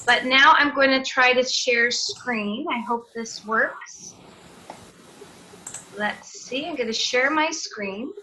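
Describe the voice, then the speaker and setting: Bright-voiced woman leading an online seminar. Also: sits high, slow, slight background noise, low-quality recording. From Canada. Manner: friendly, explanatory, with filler sounds. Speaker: teacher trainer. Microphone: video call.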